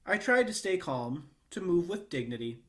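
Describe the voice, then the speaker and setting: A man reading a children's book aloud in a measured, expressive storytelling manner with short pauses. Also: high voice. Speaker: read-aloud reader.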